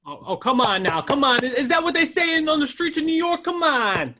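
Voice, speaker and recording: Man acting as high-pitched, lively Navy officer. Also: fairly quick, full character voice. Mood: coaxing. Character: upbeat male Navy officer, coaxing and teasing. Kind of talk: screenplay table read.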